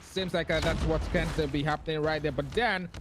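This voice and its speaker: A man casting an esports match with excitable play-by-play, full of fillers and restarts. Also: on the high side, a little fast, noisy background. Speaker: esports caster. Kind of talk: play-by-play commentary.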